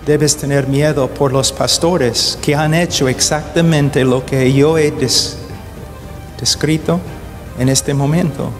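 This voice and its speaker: Slow, halting man preaching in a hall. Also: fairly high pitch, steady tone. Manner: deliberate, halting, searches for words; grows urgent and emphatic. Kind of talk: sermon. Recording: long hall reverb, faint music.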